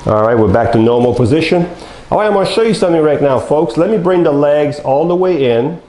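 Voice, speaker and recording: An older man demonstrating a power wheelchair in a showroom, talking folksy and step by step to viewers he calls folks, his voice going hoarse with coughs. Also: fairly high pitch, expressive, a little fast, dark tone, slight background noise. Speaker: mobility dealer demonstrating a wheelchair. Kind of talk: product demonstration talk. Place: large bare showroom studio, indoors. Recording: echoey room.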